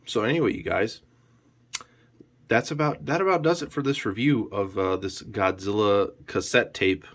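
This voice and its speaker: A man's voice: fairly low pitch, normal speed, a bit bright. A man talking casually and unscripted as a reviewer in a vlog, rambling along with frequent "you know" hedges. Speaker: YouTube collector reviewer.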